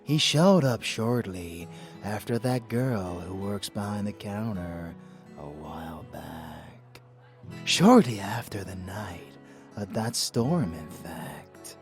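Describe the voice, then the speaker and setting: A man playing a rebellious vampire lover in an intimate ASMR audio drama, doting and darkly scheming, in hushed murmurs. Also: low-pitched, animated, distant mic, full character voice. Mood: conspiratorial, affectionate. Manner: slow, hushed, murmured endearments. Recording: heavy echo, music underneath.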